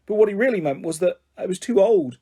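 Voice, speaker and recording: A man's voice: high voice, expressive, quick pace, mellow, husky, voice pushed up. A man reading the part of a middle-aged, old-fashioned mother with an earnest, wavering way of talking. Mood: tearful.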